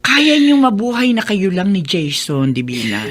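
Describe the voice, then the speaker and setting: A woman's voice: mid-range voice, very animated, normal speed. A woman acting a blunt, scolding but protective confidante in a Filipino radio drama, with a Filipino accent.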